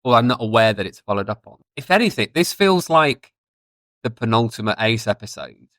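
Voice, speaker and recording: A man's voice: mid-range voice, expressive, fairly quick, fairly bright tone, dry recording. A man co-hosting a podcast in casual review chat, talking enthusiastically and thinking aloud as he goes. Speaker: podcast co-host.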